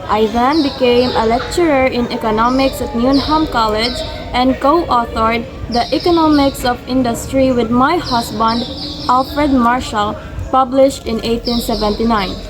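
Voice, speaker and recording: A woman's voice: fairly high pitch, a little slow, low-quality recording. A young woman reading out a scripted first-person biography as a student presentation, in a recited manner.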